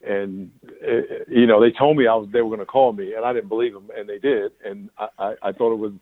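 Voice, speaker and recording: A man's voice: lowish voice, fairly even intonation, fast, no room sound. Older man pastor on a tinny phone line. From New York. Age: 60s. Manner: candid, rambling with restarts and laughs. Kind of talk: interview answers.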